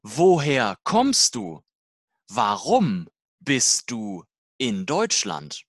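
Language-course dialogue reader: very slow, deliberate delivery, lively pitch movement. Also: no room sound, studio quality. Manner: slow, deliberate, simple learner-level sentences.

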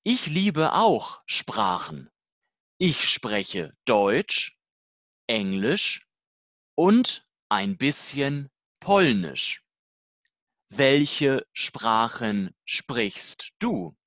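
A speaker reading a scripted language-learning dialogue slowly and deliberately, in short, simple learner-level sentences. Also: medium pitch, big pitch swings, a bit bright, dry recording, studio quality.

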